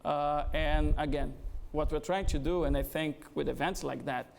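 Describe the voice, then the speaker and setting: A man's voice: fairly high pitch, fairly even intonation, moderate pace, creaky, slight background noise. A middle-aged man from Brazil with a Brazilian Portuguese accent, speaking as a guest at a startup event with frequent hesitations. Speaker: guest speaker, business executive. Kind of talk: conversational fireside interview. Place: event venue before an audience.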